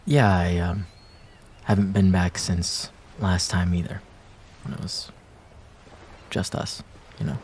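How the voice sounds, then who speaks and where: A man acting a wistful young ex-boyfriend in an intimate roleplay audio drama, speaking casually and hesitantly, with pauses and trailing phrases. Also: sits low, little pitch movement, darkish tone, a little hiss or hum. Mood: subdued, hesitant.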